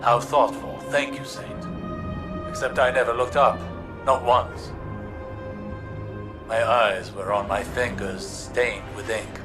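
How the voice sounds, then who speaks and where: Male actor as older scholar, grave, regretful, measured, admonishing, video game drama, music underneath. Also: mid-range voice, fairly even intonation, close to an everyday voice. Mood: rueful, reflective. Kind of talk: scripted video game dialogue. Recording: music behind the voice.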